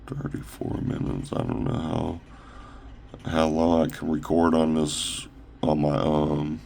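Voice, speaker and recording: A man casually showing off his own shoe designs on a phone camera, rambling with fillers and restarts, his voice a little raspy from talking a long time. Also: very deep voice, big pitch swings, darkish tone, slight background noise. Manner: halting, loose, full of fillers and restarts. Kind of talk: casual rambling product showcase.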